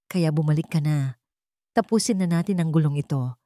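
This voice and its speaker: Woman voicing calm, menacing, possessive Filipino man. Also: low voice, normal speed, no room sound, clean studio sound, close to an everyday voice. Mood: coldly coaxing. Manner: calm, coaxing, condescending. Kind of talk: dramatized story dialogue.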